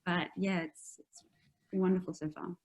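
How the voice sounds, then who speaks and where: A woman's voice: fairly low pitch, flat delivery, moderate pace, darkish tone, low-quality recording. A middle-aged woman telling personal stories in a casual conversation as an interview guest. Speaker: guest, author.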